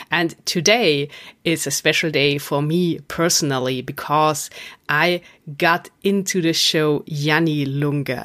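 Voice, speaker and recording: A woman hosts a podcast interview in a measured, admiring way. Her voice sits low. She takes her time over every sentence. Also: fairly bright tone. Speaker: podcast host.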